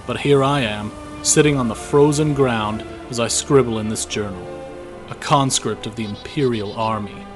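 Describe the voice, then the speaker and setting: A man playing a weary, guilt-ridden young imperial soldier in a fantasy audio drama, reading his journal in a measured, reflective way over music. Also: mid-range voice, lightly acted. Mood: troubled.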